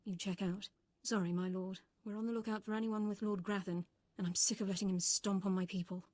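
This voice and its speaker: An actress playing a weary, no-nonsense female Imperial officer in a video game, brisk and protective of her troops. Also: mid-range voice, level tone, very noisy, natural voice. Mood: terse, exasperated. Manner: brisk, no-nonsense military delivery.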